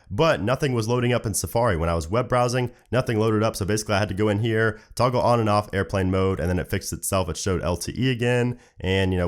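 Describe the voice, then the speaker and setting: Fast-talking, low-pitched man with a cold. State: sick, with an affected voice. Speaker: tech reviewer, YouTube channel host. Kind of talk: casual phone software review commentary to camera.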